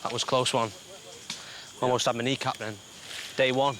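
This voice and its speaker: A man's voice: mid-range voice, little pitch movement, normal speed, noisy background. A young man on a survival show, speaking hesitantly and self-deprecatingly to camera. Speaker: contestant. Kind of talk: confessional to camera. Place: outdoors in tropical jungle.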